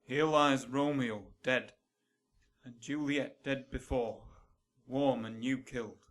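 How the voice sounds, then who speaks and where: A man's voice: medium pitch, fairly even intonation, slow, natural voice. An actor playing a dismayed watchman in a Shakespearean radio drama. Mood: shocked, urgent. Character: dismayed watchman reporting deaths with urgency.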